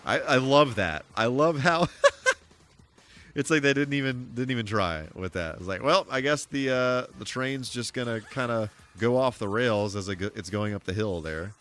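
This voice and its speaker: A man's voice: mid-range voice, moderate pace, fairly bright tone. An American man giving casual, rambling, good-humored commentary on a gaming livestream. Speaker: live streamer. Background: game music.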